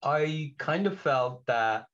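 A man from Belgium, whose first language is not English, speaking as a podcast guest in a reflective way with many pauses and restarts. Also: fairly high pitch, animated, fairly bright tone, muffled recording. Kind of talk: podcast interview.

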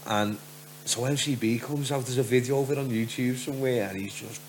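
A man from Liverpool with a softened Scouse accent and a deep voice, telling stories casually as a podcast guest. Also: fairly quick, a little hiss or hum, rough recording. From Liverpool, England. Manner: rambling storyteller, frequent "do you know what I mean".

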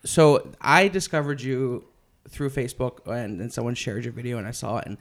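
Chatty, excitable young man interviewing. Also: mid-range voice. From Philadelphia area. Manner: enthusiastic, swears casually, quick laughs. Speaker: interviewer host. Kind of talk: casual interview chat.

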